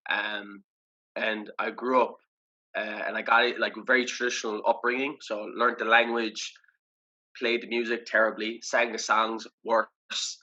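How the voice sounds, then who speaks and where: A man's voice: fairly low pitch, flat delivery, unhurried, pushed and bright, lo-fi sound. A young Irish man in casual interview chat, reflective and loose, full of fillers and restarts. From Ireland. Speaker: podcast guest.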